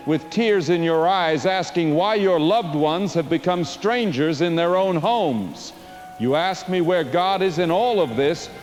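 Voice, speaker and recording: A man playing a solemn small-town pastor preaching earnestly and rhetorically to a frightened flock in an audio drama. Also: high voice. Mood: solemn, reassuring. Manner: earnest, rhetorical, measured oratory.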